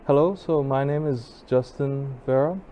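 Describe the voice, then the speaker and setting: A man giving an informal history talk as a guest speaker, reading from notes in a measured, halting way. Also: medium pitch, muffled recording. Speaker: guest speaker, college assistant registrar. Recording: clear background noise.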